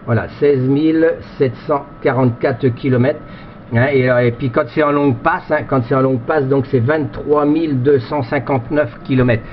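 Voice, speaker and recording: A man's voice: mid-range voice, fairly even intonation, moderate pace. A man chatting casually as an amateur radio operator, rambling and often repeating himself as he searches for words. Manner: hesitant, repetitive, rambling delivery. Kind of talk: casual radio contact chat with ham jargon. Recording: dull lo-fi radio-station audio, faint noise.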